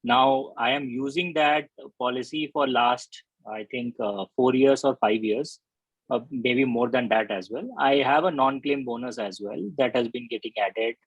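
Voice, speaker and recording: A man on a video call asking deliberate, hesitant questions about a policy. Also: mid-range voice, breathy edge, dry recording, muffled recording.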